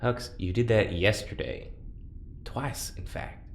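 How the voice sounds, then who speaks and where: An actor playing a wary, sardonic prisoner and test subject in an audio drama, blunt and sarcastic. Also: male voice, on the low side, slow pace, gravelly. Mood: exasperated. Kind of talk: naturalistic audio drama acting. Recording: roomy, echoing, faint background noise.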